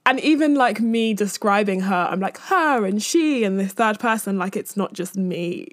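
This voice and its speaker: Woman, 20s, podcast host. Candid, self-amused, casual rambling delivery at a mid pitch, recorded close and dry. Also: lively intonation, moderate pace, no room sound. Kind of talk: casual chatty solo podcast.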